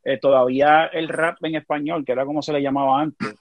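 A man with a Puerto Rican accent, a podcast guest telling animated stories in a casual conversation. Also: on the high side, a little fast. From Puerto Rico. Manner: animated storyteller, frequent restarts.

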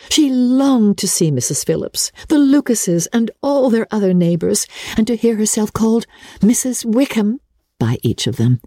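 A woman narrating classic literature in a measured, dramatic storytelling manner. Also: fairly low pitch, warm tone, husky, no room sound, clean studio sound. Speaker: audiobook narrator.

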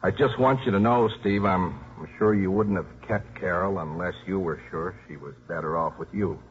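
An actor playing a kindly, apologetic priest, earnest in manner, in a 1950s radio drama. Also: male voice, deep voice, normal speed, close to an everyday voice. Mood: apologetic, concerned. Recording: thin, tinny 1950s radio broadcast.

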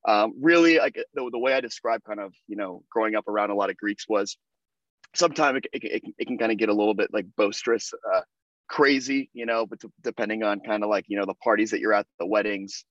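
A man's voice: fairly low pitch, fast talker, fairly bright tone, dry recording, lo-fi sound. A young American man, a podcast guest, chatting casually with lots of fillers and restarts. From United States. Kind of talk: casual interview conversation.

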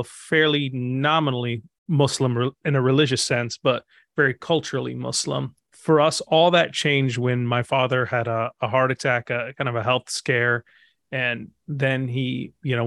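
A man in his thirties from Egypt, a pastor and author, answering interview questions in a thoughtful way, pausing and restarting as he thinks. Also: mid-range voice, fairly even intonation, a bit bright, no echo, studio quality. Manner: reflective, thinks aloud with fillers and restarts.

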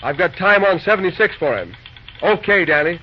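A man playing a brisk, busy railroad dispatcher in an old-time radio drama, talking in clipped, folksy railroad slang. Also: high voice, lively intonation. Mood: businesslike. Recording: muffled, noisy old radio recording.

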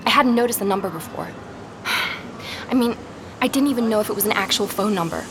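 An actress playing an earnest, rattled young woman investigator in a naturalistic audio drama, speaking haltingly as she records her search. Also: medium pitch, close to an everyday voice. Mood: defensive. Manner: hesitant, halting, with repeated words. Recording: street and vehicle noise behind.

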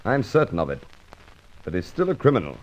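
Blunt, low-voiced police inspector. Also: male voice, huge pitch swings, brisk, a little mellow, full character voice. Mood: dry, sceptical. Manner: blunt, dry, businesslike. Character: grumbling, sceptical, dry-witted male police inspector. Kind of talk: old radio drama acting. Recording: muffled old radio transcription recording.

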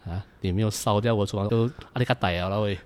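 A man's voice: lowish voice, fast, darkish tone, smooth, natural voice. A man with a Malaysian accent playing a grumbling but caring male teacher in an amateur audio drama, speaking in colloquial banter.

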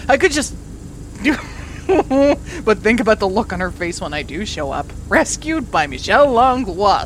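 A woman's voice: on the high side, a bit bright. An actress playing an irritated, sarcastic woman who drives a rover alone and mutters and swears to herself, in naturalistic audio drama. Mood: indignant. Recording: clear vehicle noise, rover cabin ambience.

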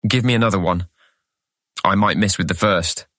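A man's voice: low voice, normal speed, pushed and bright, dry recording, studio quality. An actor playing a wary, resentful boy, suspicious and grudging, in a fantasy audiobook drama. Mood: hesitant, resolving. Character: wary, resentful boy, easily goaded friend. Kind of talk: full-cast fantasy audiobook acting.

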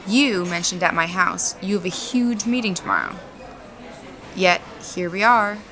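A woman's voice: mid-range voice, big pitch swings, unhurried, pushed and bright. A woman acting in a naturalistic audio drama as a confident, dryly teasing, blunt farm owner. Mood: pointed.

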